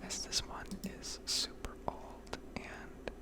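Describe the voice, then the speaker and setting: A woman whispering a casual, rambling ASMR narration over gameplay, with hesitant pauses. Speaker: video game streamer narrating gameplay.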